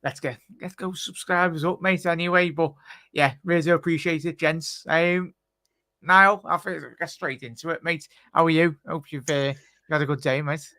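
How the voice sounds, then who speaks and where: A man's voice: on the high side, steady tone, normal speed, sharp tone, dry recording. A man hosting a casual live football reaction stream on a video call, chatty and calling everyone mate. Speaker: host.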